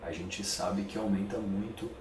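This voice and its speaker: Deep, flat-voiced researcher in an echoey room. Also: male voice. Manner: measured explanatory, filler "é" between points. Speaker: biomedical researcher. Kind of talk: documentary interview, expert explanation. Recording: echoey room, distant mic, noisy.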